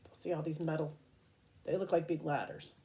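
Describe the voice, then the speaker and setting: A woman teacher reading a children's picture book aloud in an expressive, child-directed manner, pausing to explain things. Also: low-pitched, slight background noise.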